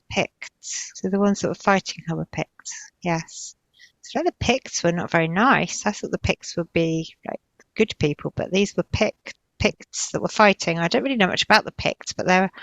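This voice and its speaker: A woman's voice: on the low side, fairly bright tone, no echo, rough recording. A woman narrating spontaneously in a guided trance session, hesitant and drifting as she searches for words. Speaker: client recounting past-life visions in a guided session.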